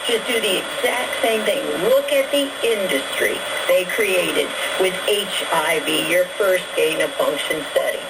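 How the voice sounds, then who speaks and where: Woman, middle-aged, creaky voice, emphatic, insistent, rambling radio interviewee, heavy shortwave static. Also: fairly low pitch, a little slow, hardly any pauses. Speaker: interview guest, scientist. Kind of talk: radio interview, impassioned monologue. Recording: very noisy, static-laden shortwave radio reception.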